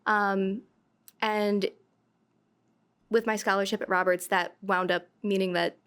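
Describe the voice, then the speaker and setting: A woman's voice: mid-range voice, takes it slowly, clear, resonant tone. A young woman, a college senior from New Jersey, talking casually on a panel with many filler words. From New Jersey, USA. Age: young adult. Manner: relaxed, many "um"s. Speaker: senior undergraduate student.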